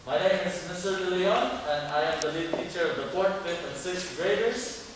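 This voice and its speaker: Higher-voiced man in a booming, echoey hall. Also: animated, normal speed. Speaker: teacher introducing a school play. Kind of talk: live welcome speech to an audience. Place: large echoing indoor performance space. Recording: very echoey hall from far off, faint noise.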